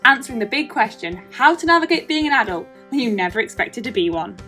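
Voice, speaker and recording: A woman in her twenties co-hosting a chat podcast, rambling and cutting herself off, her voice a little deeper than usual from allergies. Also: big pitch swings, fast, sharp tone, far from the mic, faint music behind. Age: 20s. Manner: rambling, self-interrupting, laughs at herself. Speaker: podcast co-host. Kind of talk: casual chat.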